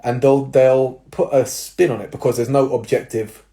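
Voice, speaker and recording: A young man, a personal trainer, giving casual advice to viewers with a slightly nasal, stuffy-nosed voice, explaining things step by step with filler words and quick "right?" checks. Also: medium pitch, steady tone, mellow. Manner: explanatory, with tag questions and fillers. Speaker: personal trainer and jiu-jitsu coach.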